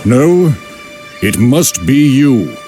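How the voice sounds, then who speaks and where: Solemn, animated ancient statesman. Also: mid-range voice, very slow, over music, heavily acted. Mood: solemn insistence. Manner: solemn, urgent, formal. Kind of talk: dramatic game cutscene acting.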